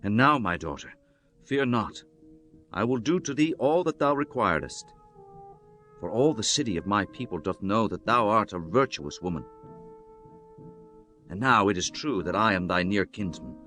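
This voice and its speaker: A man's voice: on the low side, animated, normal speed, long pauses, bright, forceful tone, soft background music. A man playing an earnest, honorable biblical landowner in solemn, declamatory scripture drama. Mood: gentle, reassuring. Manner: solemn, declamatory, archaic formal speech. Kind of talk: dramatized scripture audiobook acting.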